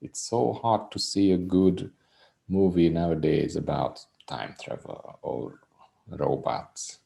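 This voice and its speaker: A man with a Hungarian accent, a native Hungarian speaker, talking about his music as a guest in a casual interview in a reserved, soft-spoken, unhurried way. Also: low voice, animated, vocal fry.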